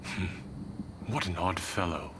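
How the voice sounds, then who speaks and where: A man's voice: sits low, unhurried, very noisy. An actor playing a proud, skeptical male ruler, commanding and aloof, in a dramatic game cutscene. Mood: cool, dismissive.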